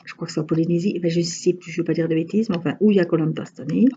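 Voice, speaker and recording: A woman's voice: lowish voice, fairly even intonation, very fast, warm tone, slightly rough, lo-fi sound. A woman with a regional Franche-Comté accent chatting casually to her viewers while crafting, rambling with many hesitations. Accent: Franche-Comté French. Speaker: crafting vlogger.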